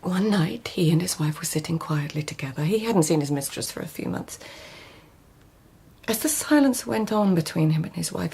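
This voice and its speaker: Husky, haunted court lady. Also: lowish voice, full character voice. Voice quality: husky, often breathy near-whisper. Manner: hushed, deliberate, haunted storytelling. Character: intense, devoted court lady of tenth-century Japan. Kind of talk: literary radio drama acting.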